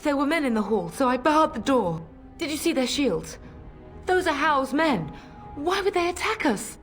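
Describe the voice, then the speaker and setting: A woman's voice: sits high, very animated, normal speed. A woman playing a middle-aged noblewoman mother in a fantasy video game, commanding, earnest and formal, fierce in her grief. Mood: worried, alarmed. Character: fierce, grieving noblewoman mother of a besieged castle. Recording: dramatic music behind.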